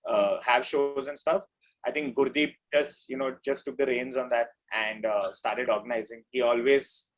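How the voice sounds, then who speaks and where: A man's voice: medium pitch, steady tone, moderate pace, rough recording. A man speaking as an interview guest, explaining things in an informal, conversational way. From India. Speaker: band drummer, interview guest. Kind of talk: casual interview chat.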